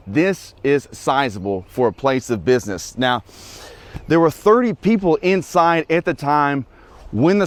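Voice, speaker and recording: A man's voice: mid-range voice, a little mellow. A man working as a TV news reporter, delivering scripted reports and live shots with emphatic, punchy phrasing. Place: mostly outdoor standups on location, some voice-over.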